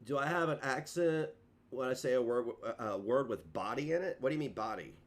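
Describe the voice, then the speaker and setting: A man's voice: medium pitch, lively intonation, fairly quick. A middle-aged man with a twang talks loosely to his stream in a mid-range voice. He rambles, jokes at his own expense and restarts his sentences often. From Kentucky. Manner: rambling and self-mocking, trips over his words. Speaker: livestreamer. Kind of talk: casual livestream chat.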